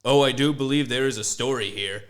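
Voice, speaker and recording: A man's voice: mid-range voice, fairly quick, lightly acted. A man doing a prim nosy student reporter. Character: young male journalism student, nosy and pun-loving.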